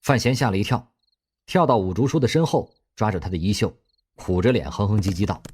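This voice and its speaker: A man narrating an audio drama in an expressive storytelling manner. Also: on the low side, fairly quick, slightly rough.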